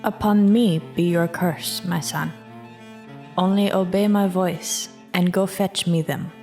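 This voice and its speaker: An actress playing an earnest, commanding mother in a dramatized scripture reading, deliberate and insistent. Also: fairly low pitch, steady tone, a little mellow. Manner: deliberate, insistent archaic delivery. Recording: string music under the voice.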